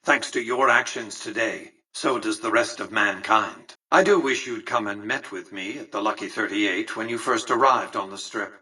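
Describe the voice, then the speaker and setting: Husky, haughty tycoon. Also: male voice, lowish voice, moderate pace, few pauses, bright, forceful tone, distant mic, low-quality recording. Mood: smug, formal. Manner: precise, formal, haughty. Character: imperious, calculating old male tycoon, coolly condescending. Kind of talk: video game character dialogue.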